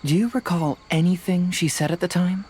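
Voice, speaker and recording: A woman playing a calm, fearless young investigator in a video game, speaking in a methodical, matter-of-fact way. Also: on the low side, brisk, slight background noise, lightly acted. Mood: cool, confident.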